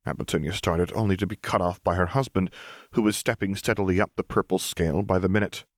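A man's voice: deep voice, fairly even intonation, fast talker, dry recording, clean studio sound. A man reading fiction aloud as a narrator, in scripted storytelling style. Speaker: narrator, audiobook reader.

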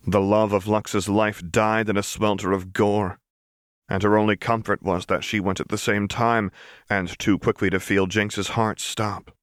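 A man reading an audiobook, narrating dramatic fiction in a measured storyteller's delivery. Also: fairly low pitch, flat delivery, no echo, studio quality.

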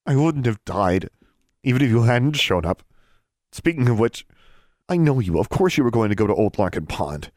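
A man's voice: low voice, animated, fast talker, gravelly, few pauses, husky, dry recording, clean studio sound, full character voice. A man voicing a stubborn teenage witch girl who stammers through chattering teeth, indignant.

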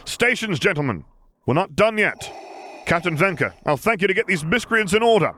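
A man's voice: high-pitched, animated, moderate pace, sharp tone, pitched above the performer's own voice. A man acts out a flustered admiral in an audiobook, dutiful and formal. His politeness sounds strained and exasperated, and there is light noise on the recording. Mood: barking orders.

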